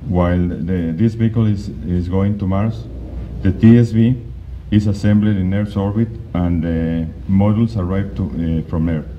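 Man, very low voice, halting conference presenter with many restarts; dull lo-fi recording, faint hiss. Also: fairly even intonation. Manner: halting, with frequent restarts and fillers. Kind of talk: slide presentation talk. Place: conference hall.

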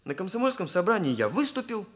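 A man's voice: high-pitched, sing-song, fast, a bit bright. An actor playing an earnest young male hairdresser who talks in stilted, pompous officialese in a Soviet radio play. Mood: aggrieved, earnest. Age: young adult. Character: earnest, aggrieved young male hairdresser, stiffly bureaucratic speech. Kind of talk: Soviet radio drama acting.

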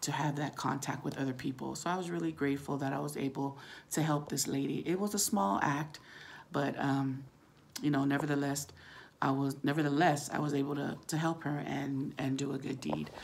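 A middle-aged woman with a husky, gravelly voice, chatting casually to camera and telling a story, acting out the dialogue as she goes. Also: low voice, steady tone, fairly quick. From Samoan, living in California. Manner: chatty, acts out quoted dialogue. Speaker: vlogger. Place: small room at home, indoors. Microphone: phone at arm's length.

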